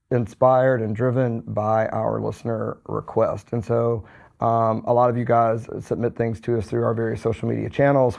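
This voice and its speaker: A hoarse middle-aged man, rambling easily through podcast chat. His voice is deeply gravelly and stays flat in pitch. The recording sounds a little dull and lo-fi. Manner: relaxed, rambling with many fillers and restarts. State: hoarse, recovering from a cold. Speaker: podcast co-host, physician.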